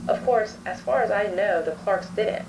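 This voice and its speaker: A woman's voice: medium pitch, moderate pace, over music, lightly acted. A woman playing a composed, probing child therapist who records session notes in a scripted horror story. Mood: composed, uneasy. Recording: distant playback with clear background noise.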